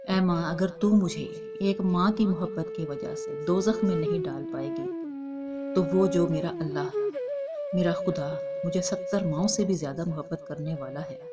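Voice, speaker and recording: Over loud flute music, a woman narrator plays a dying young sinner in a devotional storybook tale. The voice sits fairly low and pleads with sorrow and remorse. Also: moderate pace, close to an everyday voice. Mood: tearful pleading.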